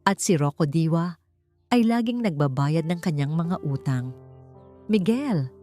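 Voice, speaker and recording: A woman's voice: sits low, animated, moderate pace, warm tone. A woman with a Filipino accent reading a story as dramatic scripted audiobook narration. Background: background music.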